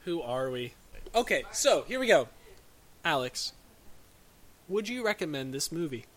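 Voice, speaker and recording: Male podcast co-host, unhurried, fairly high voice, stumbles and restarts. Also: big pitch swings, fairly bright tone. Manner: halting, restarts a lot, laughs easily. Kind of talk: casual movie review chat.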